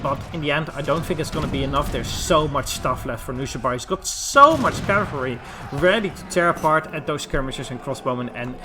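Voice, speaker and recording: A man's voice: fairly high pitch, a little fast, over music. A Dutch man with a Dutch accent doing solo play-by-play commentary of a strategy game, with frequent ums and restarts. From Netherlands. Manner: many fillers and restarts, chats with the live chat. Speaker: esports caster.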